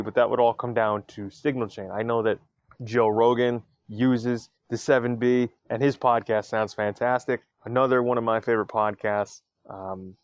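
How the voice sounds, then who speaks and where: A man's voice: fairly low pitch, clear, resonant tone, no echo, highs cut off. A man hosting his own podcast, giving his opinions in a casual, chatty way with many asides. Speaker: podcast host. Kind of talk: casual solo podcast talk.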